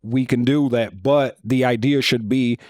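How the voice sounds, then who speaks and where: Loud, deep-voiced blunt man. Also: fairly even intonation, measured pace, no echo, studio quality. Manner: blunt and frank, swears casually. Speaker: comedy club co-owner, guest. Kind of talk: casual interview talk.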